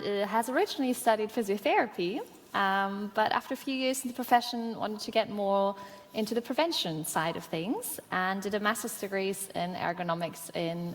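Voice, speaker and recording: A woman's voice: medium pitch, slight background noise. A woman moderating a conference panel, thanking speakers and introducing the next one politely. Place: large conference hall. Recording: reverberant hall with faint ambience.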